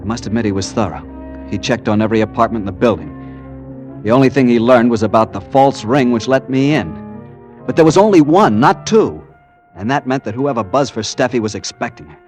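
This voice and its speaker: A male actor as a young man in a vintage radio mystery. He is anxious and insistent, a worried boyfriend who will not let go, in a medium-pitched voice. Faint music sits in the recording. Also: expressive, brisk, darkish tone, natural voice. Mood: tense narrating. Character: anxious, insistent young man searching for his missing girlfriend. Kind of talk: old-time radio drama acting and first-person narration.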